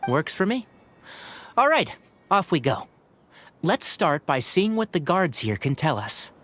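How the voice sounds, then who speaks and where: A man's voice: on the high side, very animated, a little slow, fairly bright tone, a little hiss or hum. An actor playing a level-headed young male companion with a dry, teasing, practical manner. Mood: easygoing.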